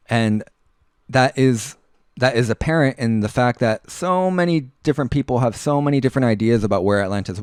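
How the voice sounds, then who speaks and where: A man hosting a casual live radio talk show, rambling through listener comments in a halting way and often repeating words and phrases. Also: mid-range voice, darkish tone, clean tone, no echo, studio quality.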